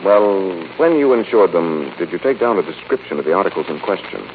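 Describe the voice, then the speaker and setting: An actor playing a commanding, determined detective with a secret identity in a 1940s radio drama, with a deep voice. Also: male voice, expressive, fairly quick. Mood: probing, businesslike. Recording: muffled 1940s radio broadcast with hiss.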